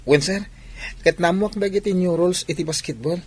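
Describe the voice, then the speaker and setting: A man's voice: high-pitched, brisk, darkish tone, slightly rough. A man playing an earnest, modest young village athlete in an Ilocano radio drama. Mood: polite, eager. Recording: noisy background ambience.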